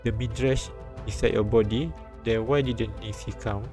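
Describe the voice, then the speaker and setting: A man reading a visual novel aloud on a live stream, with halting restarts and bits of commentary. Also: fairly low pitch, steady tone. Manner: halting, repeats and restarts lines. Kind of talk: live read-aloud translation and narration with commentary. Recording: background music behind the voice.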